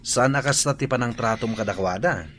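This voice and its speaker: A man's voice: medium pitch, brisk, a bit bright, a little hiss or hum, natural voice. An actor playing a teasing, sceptical male friend in a radio drama. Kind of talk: Ilocano radio drama acting.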